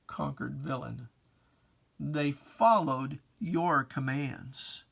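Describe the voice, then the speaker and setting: Poetry reader, solemn and theatrical, very measured pace, mid pitch, for dramatic religious verse. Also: gravelly, fairly bright tone. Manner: solemn, theatrical, drawn-out phrasing.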